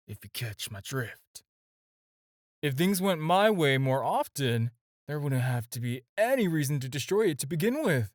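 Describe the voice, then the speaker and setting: Man acting a smooth supervillain, flirtatious, casual teasing delivery, swooping intonation, close dry sound, audio roleplay. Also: medium pitch, no room sound. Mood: wry. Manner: teasing, casual, conversational with hesitations. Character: flirty, smooth, self-assured male supervillain courting his tailor. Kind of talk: scripted audio roleplay addressed to the listener.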